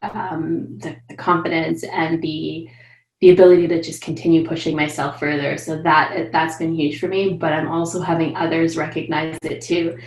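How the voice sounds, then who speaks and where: A woman's voice: low voice, normal speed. Upbeat woman on an echoey video call. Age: 30s. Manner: animated, upbeat, says she is loud. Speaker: coaching client. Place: home room with bookshelves. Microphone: video call webcam.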